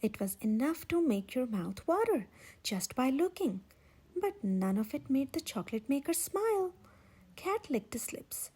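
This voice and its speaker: A woman reads a picture book aloud for children in a high voice that swoops with expression. Her tone has a breathy edge, and she leans on key words for dramatic effect as a storytime host. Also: big pitch swings, unhurried, dark tone. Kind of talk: animated children's read-aloud narration.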